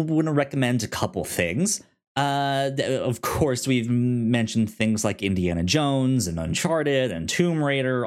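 A man co-hosting a casual chat and giving recommendations in an easygoing, halting way, as if thinking aloud. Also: mid-range voice. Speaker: podcast co-host.

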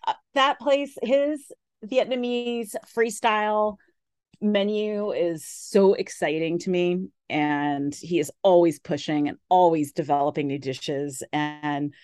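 A woman talking on a radio show in a fairly low, dry voice. She chats loosely with plenty of "like" and "you know," and her enthusiasm sends her pitch swinging up and down. The talk sounds like an easy conversation between friends. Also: takes it slowly, a little mellow, clean tone, dry recording. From based in San Francisco area. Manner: chatty, lots of "like" and "you know" fillers. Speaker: restaurant columnist guest. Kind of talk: casual radio interview chat. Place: radio studio.

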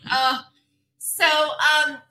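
A woman guest with a high-pitched, girlish voice, talking candidly and animatedly, laughing easily. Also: level tone, extremely slow, pushed and bright. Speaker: guest, agency founder and CEO. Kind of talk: casual interview conversation.

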